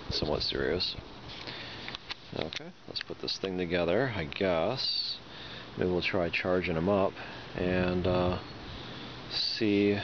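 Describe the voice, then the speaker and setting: A man giving a casual, hands-on product demonstration, thinking aloud hesitantly as he goes. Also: low-pitched. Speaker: product reviewer. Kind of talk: casual unboxing and demonstration talk. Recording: dull lo-fi sound with loud noise.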